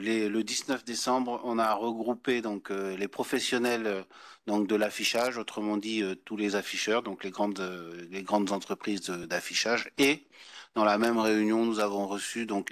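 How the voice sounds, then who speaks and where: A man chairing a public meeting, speaking formally in wordy, halting phrases. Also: lowish voice, dry recording, studio quality. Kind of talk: formal meeting address, semi-improvised.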